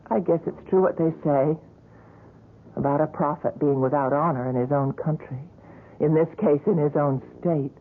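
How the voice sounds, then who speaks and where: A woman's voice: sits low, unhurried, long pauses. An actress playing a devoted young woman who works at a gallery, in a 1970s radio drama, speaking fondly and reassuringly and sometimes narrating past events. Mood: reflective. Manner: fond, fluttery, reassuring. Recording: narrow lo-fi radio broadcast.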